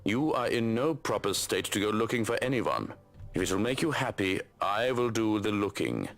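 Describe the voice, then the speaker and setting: A man voicing a stern, protective butler in a game story, speaking formally and with measured firmness. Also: fairly low pitch, big pitch swings, a bit bright. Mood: stern pleading. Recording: music under the voice.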